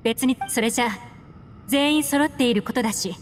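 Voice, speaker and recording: A woman's voice: on the high side, fairly quick, noisy background, natural voice. A woman playing a cool poised agent. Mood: assured. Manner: smooth, assured and knowing. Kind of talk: game voice acting. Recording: roomy game audio with music.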